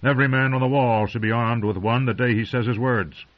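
A man's voice: mid-range voice, steady tone, brisk, pitched above the performer's own voice, lightly acted. A man voicing a stern, impatient old male commander who growls and scorns. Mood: stern lecturing. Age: older. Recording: muffled lo-fi recording.

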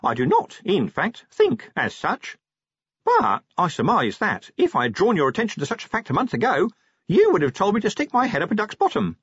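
A man voicing an eager, fussy male imp, speaking in a high, polite, literal-minded way. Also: wildly swooping pitch, fairly quick, breathy edge, no echo, heavily acted. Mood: prim, helpful. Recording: dry, slightly dull close-mic.

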